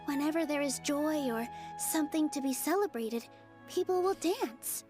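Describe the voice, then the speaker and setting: A woman voice-acting a gentle, earnest young dancer in a video game, speaking softly and sincerely. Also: sits high, animated, normal speed. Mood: earnest, reminiscing. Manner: soft, sincere, reflective storytelling. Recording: game dialogue over clear music.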